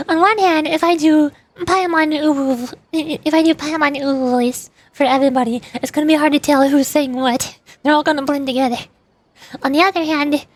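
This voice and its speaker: A woman's voice: sits high, dry recording. A woman streaming a game, giving casual, rambling commentary as she thinks aloud. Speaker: streamer, VTuber.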